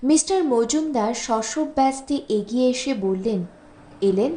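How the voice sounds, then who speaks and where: A woman reading a literary story as an audiobook narrator, in an expressive storytelling style. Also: fairly high pitch, a little slow. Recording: roomy, echoing voice recording.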